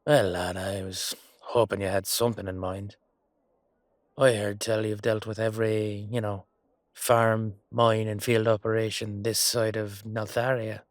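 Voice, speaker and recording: A man performs a shrewd, genial knight bargaining his way through a fantasy audio roleplay. His phrasing is old-fashioned and folksy, delivered at a measured gait, and the sound is close and dry. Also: lowish voice, little pitch movement, dry recording, lightly acted. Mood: businesslike. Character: male knight, genial, shrewd negotiator on royal business.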